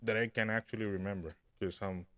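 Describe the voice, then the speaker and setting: A young man co-hosting a podcast, telling a dream story in an animated way, laughing and acting out what people said. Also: lowish voice, brisk. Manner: animated, laughing, acts out quotes. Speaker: podcast co-host. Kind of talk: casual chat, storytelling.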